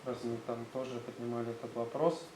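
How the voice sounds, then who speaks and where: A man's voice: mid-range voice, level tone, brisk, a little mellow. A man with a Tatar accent, a school director presenting his gymnasium to visiting officials, hesitant and full of fillers. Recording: roomy sound, faint background noise.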